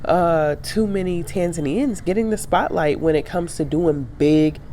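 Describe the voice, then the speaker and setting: A woman's voice: lowish voice, measured pace, warm tone, a little hiss or hum. Nasal, congested woman in her thirties. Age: 30s. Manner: chatty, reflective, many fillers and restarts. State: getting over a sinus cold. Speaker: travel vlogger. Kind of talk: casual chat to camera.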